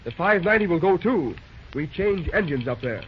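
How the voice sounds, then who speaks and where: Actor as a determined young railroad dispatcher, high-pitched. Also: male voice, expressive, normal speed, lightly acted. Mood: commanding. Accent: American. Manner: urgent, clipped, commanding. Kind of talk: old-time radio drama acting. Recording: dull, noisy vintage radio recording.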